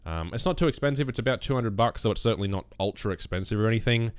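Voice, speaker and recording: A young man with a bassy voice explaining his recording setup in a relaxed, rambling way, close on a USB condenser mic on a boom arm. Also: racing, smooth, no echo. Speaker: YouTube gaming creator. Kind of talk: casual screen-recorded tutorial.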